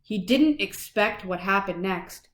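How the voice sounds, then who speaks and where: A woman reading a children's picture book aloud in an expressive, dramatic way for young listeners. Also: medium pitch, unhurried, roomy sound. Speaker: children's storybook reader.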